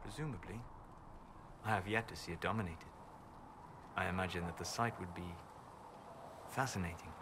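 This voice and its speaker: Man acting a low-voiced, scholarly elven mage. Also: lightly acted. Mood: wry, musing. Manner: measured, formal, thoughtful phrasing. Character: calm, learned elven apostate mage, reserved and scholarly. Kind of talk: video game dialogue acting. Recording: clear ambient noise behind game dialogue.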